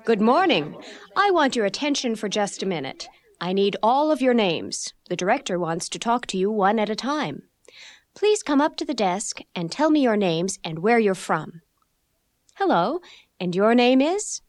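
A woman voicing a polite receptionist who takes names in a scripted textbook dialogue, speaking clearly and deliberately. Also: mid-range voice, big pitch swings, dry recording, studio quality, close to an everyday voice. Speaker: office receptionist.

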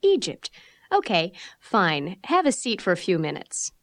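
A woman voicing a polite receptionist who takes names in a scripted textbook dialogue, speaking clearly and deliberately. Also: on the low side, huge pitch swings, dry recording, heavily acted. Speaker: office receptionist.

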